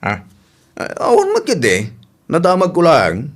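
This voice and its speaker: A man's voice: fairly high pitch, wildly swooping pitch, measured pace, heavily acted. A man playing a meddling, secretive village trader in an Ilocano radio drama, with an Ilocano Filipino accent and a grumbling manner. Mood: evasive, prying.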